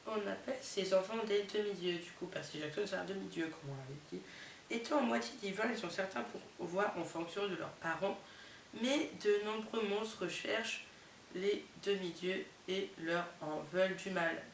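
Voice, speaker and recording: A woman's voice: mid-range voice, moderate pace. A young woman talks casually with a head cold that makes her voice nasal, filling her chat with lots of fillers and amused asides. She sits some way from the camera in an echoey room. Faint hiss runs under the recording. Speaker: vlogger. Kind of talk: casual chatty vlog, reading out summaries with reactions. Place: indoors, not her bedroom. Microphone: camera mic. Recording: roomy, distant camera mic with faint hiss.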